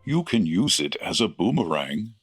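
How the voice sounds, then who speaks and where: A man's voice: sits low, sing-song, normal speed, husky, faint music behind, heavily acted. A man playing a kindly, weary old Santa Claus in a comedic audio drama, with a hesitant, avuncular manner. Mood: helpful.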